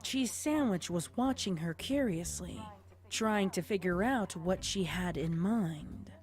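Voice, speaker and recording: A woman's voice: fairly low pitch, animated, slow, a little mellow, faint music behind. A woman reading scripted story narration as the narrator of a tale.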